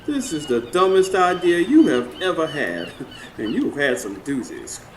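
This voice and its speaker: A sassy, blunt Southern skeptic in a radio drama, wry and teasing. The voice is on the high side and swoops with animation, with background noise in the live recording. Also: male voice, huge pitch swings, measured pace. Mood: skeptical, sassy. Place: stage before a live studio audience.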